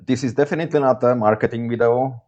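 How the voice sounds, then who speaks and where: A man's voice: medium pitch, fast talker, darkish tone, muffled recording. A man from Hungary with a Hungarian accent, presenting a scripted video review in English as a non-native speaker. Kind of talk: scripted product review to camera.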